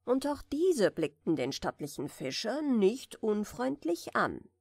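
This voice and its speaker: A woman reading a fairy tale aloud as a bedtime audiobook, softly and soothingly, in a deliberately gentle manner. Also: mid-range voice, sing-song, breathy edge, dry recording, studio quality. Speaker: audiobook reader.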